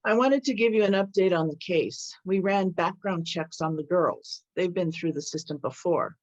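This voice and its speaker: Woman playing lowish, courteous police chief, muffled audio. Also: moderate pace, a little mellow, close to an everyday voice. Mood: measured. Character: courteous, humble police chief with measured authority. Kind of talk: table read of a screenplay. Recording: dull, lo-fi audio.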